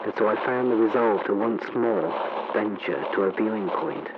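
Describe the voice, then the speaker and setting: A man's voice: on the low side, natural voice. An actor playing a grave, shaken male war reporter giving a solemn, formal eyewitness account in a 1930s radio broadcast style. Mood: grim, urgent. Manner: solemn, deliberate, formal narration. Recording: noisy, muffled vintage radio recording.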